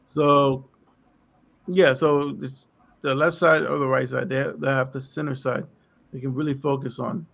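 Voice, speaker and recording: A man in casual political chat on a podcast, losing his voice and clearing his throat as he talks in a rambling way. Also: medium pitch, level tone, a little slow, a bit bright. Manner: rambling, lots of fillers. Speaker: podcast co-host.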